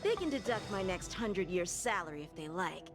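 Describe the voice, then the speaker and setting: Game role: flippant soldier, animated and sardonic, higher register, music underneath. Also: female voice, brisk. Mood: flippant, teasing. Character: brash, flippant female soldier. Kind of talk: English video game dialogue. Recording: game audio with music behind.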